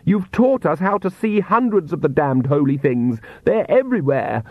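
A man voicing a sharp-tongued, furious young vampire woman who snarls scornfully at her father. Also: a little high, big pitch swings, normal speed, no echo, narrow, tinny audio, voice pushed up. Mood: hysterical fury.

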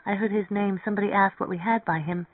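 A man reading a story, voicing an eager, naive young woman who is excitable and full of curiosity. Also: high voice, fast, dry recording, phone-quality sound, pitched above the performer's own voice. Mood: curious. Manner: excitable, inquisitive.

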